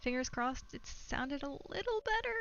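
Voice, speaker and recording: A woman's voice: high voice, big pitch swings, moderate pace, a bit bright, muffled recording. A woman livestreamer chatting casually to her viewers, rambling and thinking aloud as she goes. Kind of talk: casual chat to stream viewers. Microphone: streaming microphone.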